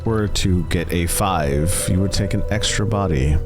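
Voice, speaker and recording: Low slow guy game master, dramatic storytelling, music playing behind him. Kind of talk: dramatic tabletop game narration. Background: loud music.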